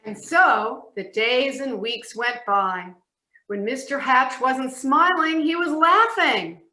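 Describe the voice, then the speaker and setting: Bright echoey woman storybook narrator. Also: sits high, lively intonation, slow. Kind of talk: storybook narration for readers theatre. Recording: echoey room sound.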